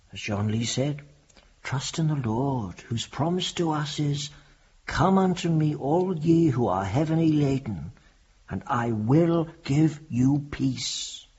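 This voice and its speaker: A devout, compassionate reverend in a radio drama. He speaks slowly and solemnly with wide, expressive intonation and a very husky voice, fatherly and grave, slightly muffled in sound. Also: medium pitch, exaggerated voice. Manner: solemn, deliberate, priestly.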